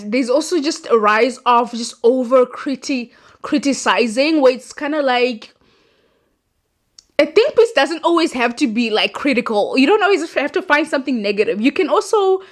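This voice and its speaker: A young woman, a non-native English speaker, hosting a chatty, rambling commentary and laughing at her own points. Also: sits high. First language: not English. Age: young adult. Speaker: host. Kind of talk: casual commentary monologue.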